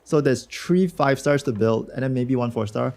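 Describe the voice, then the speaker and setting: A man streaming a game with a hoarse voice from a cold, chatting loosely and slangily with his viewers. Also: mid-range voice, moderate pace, a little mellow. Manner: slangy, rambling, talks to chat. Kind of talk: casual livestream chat reacting to a game.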